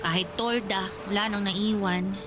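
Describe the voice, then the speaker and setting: A woman's voice: mid-range voice, steady tone, moderate pace, over music, lightly acted. A woman playing a sentimental, teasing older girl cousin in a radio romance drama, confiding and dramatic. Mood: wistful, regretful.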